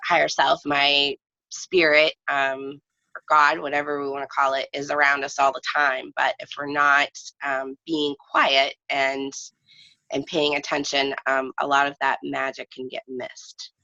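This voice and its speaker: Low, bright-voiced woman in an echo-free, dull recording. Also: little pitch movement, unhurried. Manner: hesitant, thoughtful, searching for words. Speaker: interview guest. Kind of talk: casual reflective conversation. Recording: slightly dull, echoey room audio.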